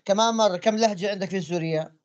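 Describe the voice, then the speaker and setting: A man's voice: high-pitched, racing, dull audio. A man in a religious debate, asking pointed questions and explaining patiently.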